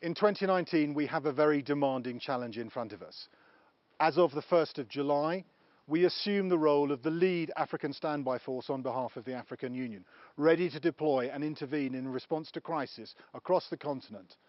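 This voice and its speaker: Measured man with a formal official tone. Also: fairly high pitch, dry recording, highs cut off. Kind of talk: formal interview statement.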